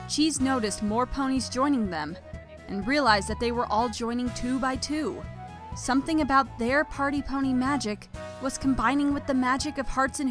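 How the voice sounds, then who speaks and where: High-voiced woman narrating a story. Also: fairly bright tone. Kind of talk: expressive scripted story narration.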